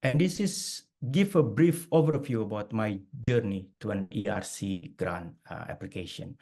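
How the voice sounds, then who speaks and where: A man's voice: lowish voice, normal speed, mellow. Hesitant man presenting over a video call. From Indonesia. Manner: hesitant, many fillers and restarts. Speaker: guest speaker. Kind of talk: webinar presentation.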